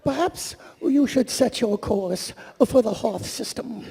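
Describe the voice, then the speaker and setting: A man voicing a gentle, honey-loving cartoon bear who plays a polite, dithering villain in a comic live reading. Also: extremely high pitch, slow, gravelly, noisy background, heavily acted. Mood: mild menace. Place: on stage at a large convention hall. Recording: echoey convention hall.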